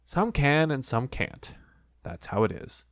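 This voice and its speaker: Reader doing a gentle slow-thinking bear; mild, dry close sound. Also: medium pitch, big pitch swings, dry recording. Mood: musing. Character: slow simple-minded little bear. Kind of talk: storybook reading.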